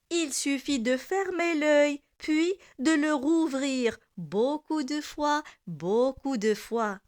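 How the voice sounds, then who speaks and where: Woman w high voice reading a kids poem really really slowly, careful pauses between lines. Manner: deliberate, with repeated lines and pauses. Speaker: poem reciter for primary school learners. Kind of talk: slow poem recitation for children.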